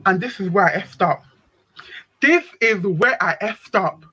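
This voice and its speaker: A young woman with a deep voice, telling a story on a live panel stream in a slangy, dramatic way. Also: lively intonation, a little slow, pushed and bright, husky, low-quality recording. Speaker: panel speaker.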